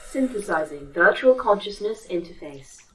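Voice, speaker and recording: An actress playing a polite, pedantic A.I. that speaks formally and precisely in a sci-fi audio drama. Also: female voice, mid-range voice, lively intonation, moderate pace, pushed and bright, slight background noise, low-quality recording. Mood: chirpy, pedantic. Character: polite, pedantic female A.I. running a facility. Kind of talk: audio fiction acting.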